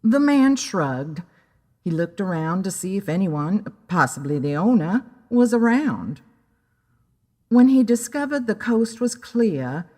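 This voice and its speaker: A woman's voice: fairly low pitch, darkish tone. A woman narrating a scripted story in a dramatic storytelling style. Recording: long hall-like reverb.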